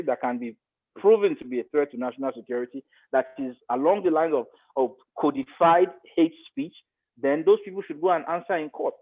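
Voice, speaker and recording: A man with a Nigerian accent giving his opinions in a podcast discussion, emphatic and repeating phrases to make his point. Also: a little high. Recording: thin, phone-like line.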